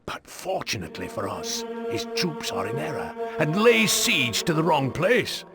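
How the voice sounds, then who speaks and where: A solemn, secretive monk from a video game. The voice is gravelly and a little high, formal and earnest, with clear music underneath. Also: male voice, wildly swooping pitch, unhurried, full character voice. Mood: solemn, imploring. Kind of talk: video game character acting.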